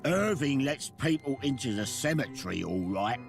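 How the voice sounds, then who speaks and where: A man's voice: medium pitch, sing-song, normal speed, gravelly, music in the background. An actor playing a helpful, simple-minded townsman in an adventure game, with an obliging, guileless manner. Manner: obliging, simple, third-person self-reference.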